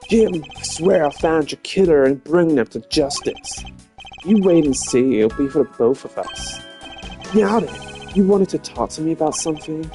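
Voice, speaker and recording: A man voicing a composed, sharp-minded male prosecutor who talks in measured, formal sentences. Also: a little high, expressive. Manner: measured, formal, precise deductions. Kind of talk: live-stream game voiceover. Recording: game music behind voice. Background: game music.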